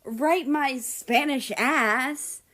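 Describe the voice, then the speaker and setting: A woman's voice: high voice, drawn-out words, pushed and bright, pitched above the performer's own voice, full character voice. A woman voicing a small, hot-tempered man, indignant and emphatic, in a read-aloud story. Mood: annoyed. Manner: swearing, outraged, emphatic.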